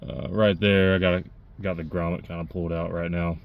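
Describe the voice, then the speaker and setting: A man's voice: deep voice, little pitch movement, fairly quick, creaky, highs cut off. A man giving a casual, unscripted DIY walkthrough, talking plainly and pointing things out as he works. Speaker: DIY vlogger demonstrating a truck CB radio install.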